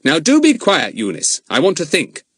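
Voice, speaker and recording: Guy playing a bossy know-it-all boy, a bit higher than his own voice, very lively. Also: breathy edge, no echo, heavily acted. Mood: firm. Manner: brisk, commanding. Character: clever, bossy schoolboy detective.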